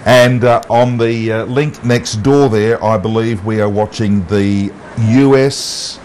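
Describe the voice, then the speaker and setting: Australian man, slightly low pitch, amateur presenter, frequent hesitations, unscripted, light background noise. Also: steady tone, darkish tone. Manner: halting, with long um and uh pauses. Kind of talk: unscripted walk-through of a station setup. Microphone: wireless mic pack. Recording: noisy amateur TV transmission audio.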